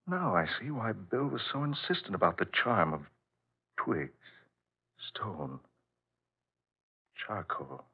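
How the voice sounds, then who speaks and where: An actor playing a tense, resolute husband in a 1940s radio horror drama, grave and dramatic in delivery. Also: male voice, low voice, breathy edge, full character voice. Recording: muffled, lo-fi old radio recording.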